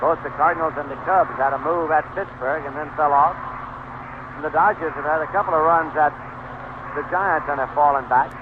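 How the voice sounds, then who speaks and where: An American man calling a baseball game live on the radio, in measured, clipped play calls with pauses. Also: fairly high pitch, phone-quality sound. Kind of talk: live radio sports commentary. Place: stadium broadcast booth. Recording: noisy band-limited 1970s AM radio broadcast.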